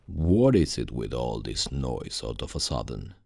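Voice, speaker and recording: A man voicing an old, grey founder of a fantasy thieves' guild, calm and commanding, with a gravelly, husky voice and a measured, formal, fatherly manner in an ASMR roleplay. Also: extremely low pitch, no room sound, clean studio sound, pitched below the performer's own voice, full character voice. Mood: curious, measured.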